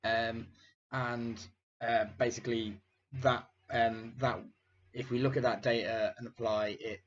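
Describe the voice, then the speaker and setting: A young man hosting a casual finance video, his voice croaky from illness, talking hesitantly with many fillers. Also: medium pitch, level tone, a little slow. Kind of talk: casual market commentary. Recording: dull lo-fi sound, faint noise.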